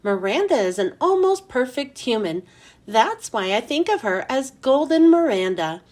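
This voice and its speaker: High, sing-song woman reading a storybook. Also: big pitch swings, moderate pace, a little mellow. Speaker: children's book reader. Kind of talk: reading a children's chapter book aloud.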